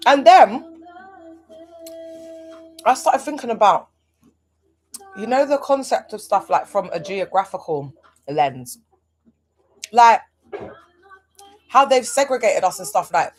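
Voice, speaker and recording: A woman talks casually to her livestream viewers. Her pitch sits in the middle range, and she is animated, throwing in rhetorical questions and asides as she goes. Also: husky. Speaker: livestream host.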